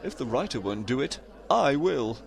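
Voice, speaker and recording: A genteel English male narrator played by a man speaks in a mid-pitched, very animated voice with music behind. He is formal, polite and drolly wry, as heard in a comic audio drama. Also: moderate pace, vocal fry. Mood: smug.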